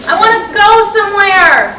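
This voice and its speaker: A woman's voice: extremely high pitch, animated, moderate pace. A woman reading the part of a restless, sardonic wife in a staged play, in blunt, conversational dialogue. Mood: agitated, depressed. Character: restless, sardonic wife, easily upset, nostalgic. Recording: distant mic in echoey, noisy room.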